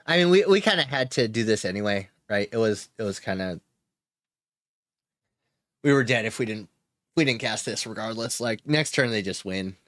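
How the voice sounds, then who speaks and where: A man's voice: fairly low pitch, animated, normal speed, pauses often, a bit bright, no room sound, studio quality. A man giving casual gameplay commentary while playing a card game, thinking aloud, chuckling and cheering his wins.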